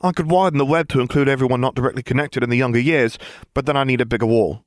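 A man voicing a brisk teenage girl in a storybook reading, rattling off gossip in a matter-of-fact way. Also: mid-range voice, dry recording, studio quality, voice pushed up, close to an everyday voice.

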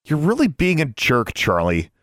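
Man putting on a high-pitched, bossy schoolgirl. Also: sing-song, moderate pace, dry recording, clean studio sound. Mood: scolding. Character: bold, scolding, worried schoolgirl with a pet raven.

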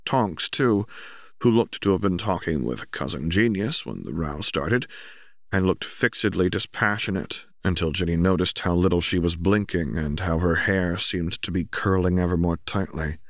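A man's voice: sits low, moderate pace, no room sound, clean studio sound. A man reading a story aloud as scripted audiobook narration.

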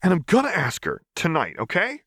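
A man's voice: fairly high pitch, wildly swooping pitch, fairly quick, fairly bright tone, no room sound, studio quality, pitched above the performer's own voice, heavily acted. A man voicing a scrappy, eager young street boy who wheedles for extra food. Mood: defiant.

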